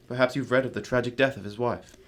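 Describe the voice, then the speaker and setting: A courteous gentleman detective in a radio mystery play, acted by a man, with a medium-pitched voice. He is composed and analytical, explaining things in formal, measured phrases. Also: steady tone, close to an everyday voice. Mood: genial, composed. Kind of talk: amateur radio drama acting.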